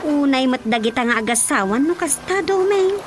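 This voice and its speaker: A high, animated female voice for a nosy villager who is easily scandalised. She exclaims and questions with a sharp tongue in an Ilocano radio drama. Light music sits underneath. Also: normal speed. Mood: curious. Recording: faint music under the dialogue. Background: faint background music.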